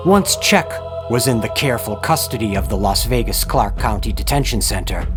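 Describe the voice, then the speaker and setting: A man playing a grim true-crime narrator, delivering scripted horror drama narration in a formal, ominous, measured way. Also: mid-range voice, lightly acted. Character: grim male true-crime narrator of a gruesome murder case.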